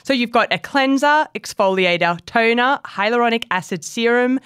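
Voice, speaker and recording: A woman's voice: fairly high pitch, moderate pace, fairly bright tone, clear, resonant tone, no echo, clean studio sound. A woman co-hosting a casual beauty podcast, chatty and laughing often. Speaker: podcast co-host. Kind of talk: casual chat.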